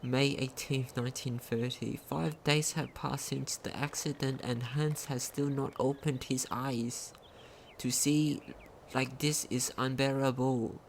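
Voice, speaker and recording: A game commentator with a creaky, mid-pitched voice over faint noise. The delivery is casual and unhurried, like someone reading on-screen text aloud, with occasional stumbles. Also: steady tone, low-quality recording. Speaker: gaming video commentator. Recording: home recording with faint noise.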